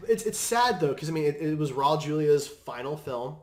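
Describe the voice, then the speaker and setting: A man's voice: fairly high pitch, expressive, normal speed. A man hosting a podcast, talking casually about movies and games. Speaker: podcast host. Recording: roomy, echoing room sound.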